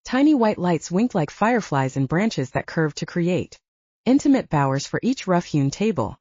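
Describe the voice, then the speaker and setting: A woman's voice: on the low side, big pitch swings, moderate pace, darkish tone, no room sound, lo-fi sound. A woman reading a romance novel aloud as a scripted audiobook narrator.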